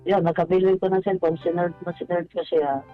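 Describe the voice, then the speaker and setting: A man calling a radio show, telling his personal story in a polite, halting way. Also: high-pitched, flat delivery. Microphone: telephone. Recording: dull phone-in line, music bed.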